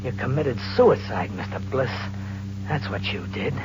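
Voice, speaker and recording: An actor playing a hunted, vengeful drifter in an old radio thriller, talking in tough slang with melodramatic, haunted delivery. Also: male voice, mid-range voice, expressive, a little slow, natural voice. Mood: cold, menacing. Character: hunted, desperate, vengeful male drifter on the run. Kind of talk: 1940s radio thriller acting with first-person narration. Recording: noisy, muffled old radio recording with hum.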